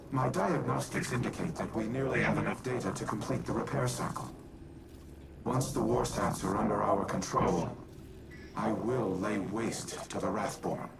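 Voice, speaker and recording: A proud, gravelly war machine. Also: male voice, on the low side, low-quality recording. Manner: formal, measured, pompous. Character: proud military artificial intelligence warmind, grandiose and unyielding. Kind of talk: video game voice acting. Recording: loud music behind.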